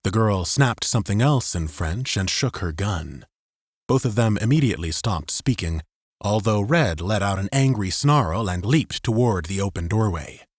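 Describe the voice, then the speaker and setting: A man's voice: fairly low pitch, no room sound, clean studio sound. A man narrating dramatic fiction as a scripted audiobook.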